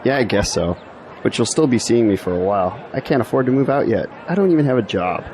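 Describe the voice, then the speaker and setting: A man's voice: medium pitch, animated, fast, darkish tone, natural voice. An actor playing a self-deprecating young male graduate in an audio drama, with a dry delivery. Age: young adult. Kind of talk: scripted audio drama dialogue. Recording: faint outdoor background noise.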